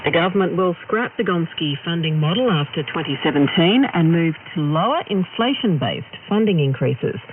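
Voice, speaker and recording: A man with an Australian accent reading a scripted radio news bulletin over noisy shortwave radio. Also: high-pitched. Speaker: news reader. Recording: noisy narrow-band shortwave radio reception.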